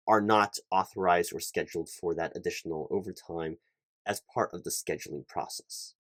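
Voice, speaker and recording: Low-pitched man, officious interview roleplay. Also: no room sound. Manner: polite, officious, halting corporate patter. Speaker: performer playing a recruiting hiring manager. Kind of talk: one-sided job interview roleplay, ASMR.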